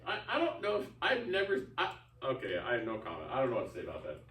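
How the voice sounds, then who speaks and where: Male classroom teacher, informal and coaxing, animated intonation, roomy far-off sound. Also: mid-range voice, fast. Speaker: teacher leading a reading lesson. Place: echoey classroom with children. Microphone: distant microphone. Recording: echoey, distant, noisy classroom recording.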